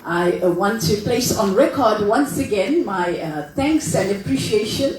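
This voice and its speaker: A visiting woman preacher from South Africa speaks in short phrases and pauses for her interpreter. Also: medium pitch, animated, a little mellow, slight background noise. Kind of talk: sermon delivered through an interpreter. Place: church hall. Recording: echoey hall from far off.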